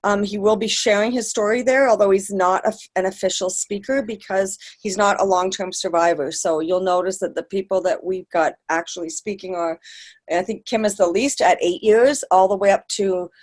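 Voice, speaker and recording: A woman's voice: medium pitch, fairly even intonation, normal speed. A woman hosting a webinar, introducing her guest in a friendly, loose way with fillers. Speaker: webinar host.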